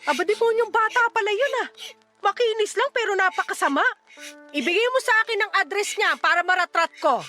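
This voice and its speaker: A woman's voice: very high voice, fairly quick, faint music behind. A Filipino woman acting as a playful, fiercely protective single mother around forty in a melodramatic radio drama. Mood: indignant, protective. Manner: animated, teasing, exclamatory.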